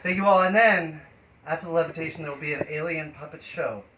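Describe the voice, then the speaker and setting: Male live stream host, fairly high voice, rambling and hesitant delivery, light background noise. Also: fast. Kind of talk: improvised live stream chat. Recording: noisy live stream with ambient sound.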